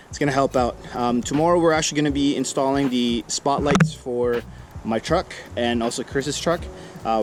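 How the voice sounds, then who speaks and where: A man's voice: medium pitch, slight background noise. A man hosting a vlog in casual, laid-back, slangy chat with an upbeat manner. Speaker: vlog host.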